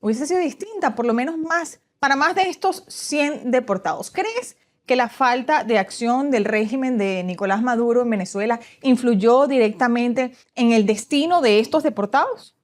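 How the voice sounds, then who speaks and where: A woman host moderating a news panel, asking crisp, formal questions. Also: a little high, normal speed, hardly any pauses, darkish tone.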